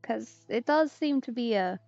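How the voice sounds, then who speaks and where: High-voiced, lively woman streaming a game. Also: unhurried, creaky, dry recording, lo-fi sound. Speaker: streamer, host of a game let's play. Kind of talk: casual live gameplay commentary. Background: video game music.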